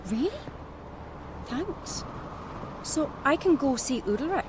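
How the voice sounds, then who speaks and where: Higher-voiced, headstrong young noblewoman. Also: lively intonation, slow pace, background noise. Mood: curious, probing. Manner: frank, earnest storytelling. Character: earnest, headstrong young island noblewoman from a fantasy realm. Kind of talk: video game cutscene acting. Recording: music behind the voice.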